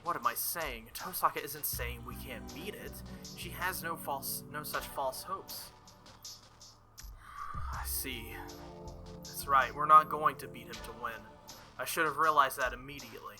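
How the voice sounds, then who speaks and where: A man's voice: on the high side, measured pace, music in the background, natural voice. A man reading the inner thoughts of an earnest teenage boy hero from a visual novel, hesitant and questioning. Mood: worried.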